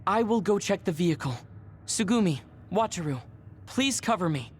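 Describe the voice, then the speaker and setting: A man's voice: sits high, animated, moderate pace, a little hiss or hum. An actor playing a serious, dutiful male soldier in a video game cutscene. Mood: businesslike.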